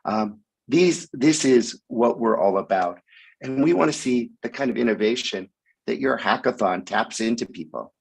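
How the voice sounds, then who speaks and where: A man speaking hoarsely with a raspy voice while sick with the flu, giving a halting sponsor talk over a video call with many ums. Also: mid-range voice, lively intonation, a little mellow, rough recording. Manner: halting, frequent ums, occasional cough. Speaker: sponsor speaker at hackathon opening. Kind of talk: online event speech.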